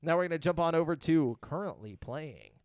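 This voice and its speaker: A man's voice: fairly high pitch, lively intonation, brisk, a little mellow, clear, resonant tone, no echo, clean studio sound. A man hosting a casual podcast, reading game release news in a loose, profane, joking way and stumbling over names. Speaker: podcast host.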